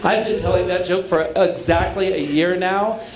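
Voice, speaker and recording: A middle-aged man doing a stand-up comedy set on a club stage, camp and breezy, with quick asides to the audience. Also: sits high, steady tone. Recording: echoey club with laughing crowd. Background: audience laughter.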